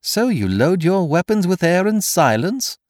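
A man voicing a smug, dapper gentleman villain in a storybook reading, teasing his victim with theatrical mock politeness. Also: mid-range voice, huge pitch swings, measured pace, no room sound, studio quality, pitched above the performer's own voice. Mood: taunting, amused.

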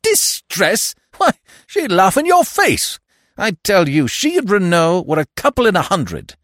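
Man acting a brash secretary, high and loud. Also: wildly swooping pitch, a little slow, no echo, clean studio sound, heavily acted. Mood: scornful. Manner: slangy, emphatic, exclamatory. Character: brash, blunt male secretary, loyal and indignant. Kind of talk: acted dialogue in audiobook mystery.

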